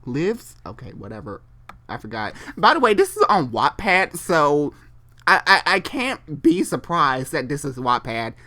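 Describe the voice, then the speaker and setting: Animated, bright-voiced comedic story reader. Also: mid-range voice, unhurried. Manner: theatrical and unfiltered, breaking off into asides and stumbling re-reads. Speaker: reader and host. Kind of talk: comedic dramatic reading of amateur online fiction with casual asides.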